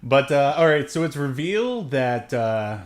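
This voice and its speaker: Male podcast co-host, mid-pitched, easygoing and joking, talking casually through a film. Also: big pitch swings, a little slow. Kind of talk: casual movie recap chat.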